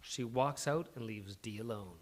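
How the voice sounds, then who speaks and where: A man's voice: medium pitch, moderate pace. A man narrating a comedy script aloud at a live table read, dramatically playing up the action. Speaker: stage-direction narrator at a live script reading.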